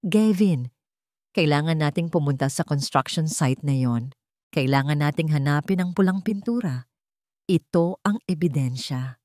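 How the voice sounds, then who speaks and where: A woman's voice: sits low, expressive, normal speed, a little mellow, no echo, studio quality. A woman voicing a grieving, resolute young heroine with a firm, determined manner in a romantic audio drama. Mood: urgent resolve.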